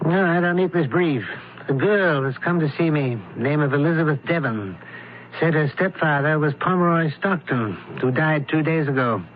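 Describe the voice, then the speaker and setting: An actor playing a brisk, shrewd doctor turned investigator in an old-time radio mystery, questioning with clipped authority. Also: male voice, fairly high pitch, close to an everyday voice. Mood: brisk, commanding. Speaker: investigator character. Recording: narrow, tinny old radio recording, hiss.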